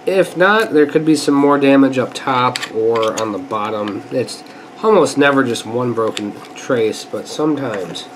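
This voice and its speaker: A man's voice: medium pitch, measured pace, background noise. A man who sounds stuffy from a sinus infection talks casually and thinks aloud while he works through a hands-on repair at a workbench. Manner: relaxed and chatty, thinking aloud while working. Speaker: repair channel host. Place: indoor workbench.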